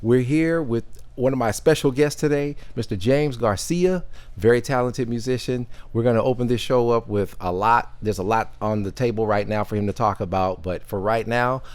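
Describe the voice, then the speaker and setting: A man's voice: mid-range voice, moderate pace, a little mellow. A man hosting his own talk show, introducing his guest in an upbeat way and asking casual questions with short affirming replies. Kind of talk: casual interview chat.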